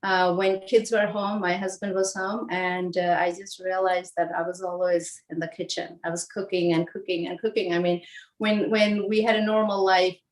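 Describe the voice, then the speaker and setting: A woman in her forties from Bangladesh, explaining home recipes in a casual, halting way with lots of fillers and restarts. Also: lowish voice, little pitch movement, poor audio. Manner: hesitant, many fillers and restarts. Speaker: home cook guest. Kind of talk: casual cooking demonstration.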